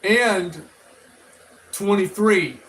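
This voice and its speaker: A man giving rambling, casual opinion commentary as a review vlogger, halting and often repeating and restarting his phrases. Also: high voice, fairly bright tone, low-quality recording. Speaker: toy and media review vlogger.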